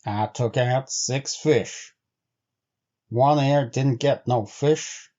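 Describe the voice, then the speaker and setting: A man voicing an uneasy, insistent sled-dog driver in a storybook, speaking gravely and deliberately in rustic, old-time phrases. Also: medium pitch, mellow, dull audio. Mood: grave insistence. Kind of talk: storybook character voice.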